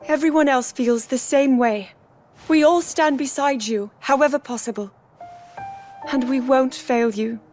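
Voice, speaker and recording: A devoted young video game princess with a high voice. She speaks sincerely and formally, with respectful, dutiful determination. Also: a little slow, darkish tone, faint music behind. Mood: earnest, determined. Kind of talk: dramatic video game voice acting.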